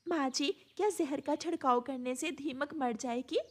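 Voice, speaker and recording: A woman voicing a gentle, anxious mother sparrow in a Hindi storytelling cartoon. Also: sits high, normal speed, lightly acted. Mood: polite.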